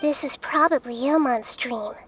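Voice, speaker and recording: A woman voicing a calm, wise, childlike nature deity in a video game, explaining things in a measured way. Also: high voice, heavy background noise, lightly acted. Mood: thoughtful. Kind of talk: English video game voice acting.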